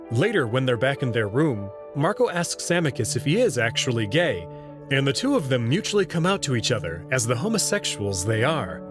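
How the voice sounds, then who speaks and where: A man narrating a scripted story expressively as the host of a visual novel reading channel. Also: mid-range voice.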